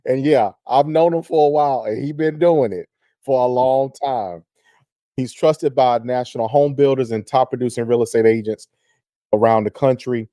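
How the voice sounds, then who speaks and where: A man hosting a real estate radio show, talking in an energetic, effusive way as he hypes up his guest. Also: medium pitch, moderate pace, no echo, clean studio sound. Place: radio studio.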